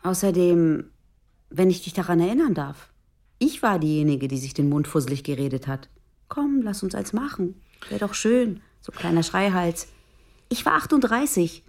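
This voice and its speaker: An actress playing a guarded, matter-of-fact police detective of about forty in a naturalistic radio crime drama. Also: female voice, lowish voice, expressive, a little mellow. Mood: irritated, cutting. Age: around 40. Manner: matter-of-fact, brisk, cutting when provoked. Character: female police detective around 40, guarded, sharp-tongued.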